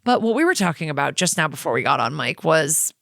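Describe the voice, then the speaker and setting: A woman's voice: low voice, sing-song, fast talker, vocal fry, a bit bright, dry recording. A woman co-hosting a podcast, talking in a chatty, gushing way and swearing casually into a close mic. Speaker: podcast co-host.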